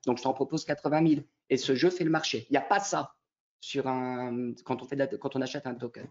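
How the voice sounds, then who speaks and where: A man's voice: medium pitch, fairly even intonation, brisk. Through a somewhat dull, lo-fi recording comes a man with a mid-range voice. He explains things as an interview guest, in a didactic style, often posing a question and answering it himself. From Quebec, Canada. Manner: didactic, poses questions then answers them. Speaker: interview guest, real estate tokenisation company representative.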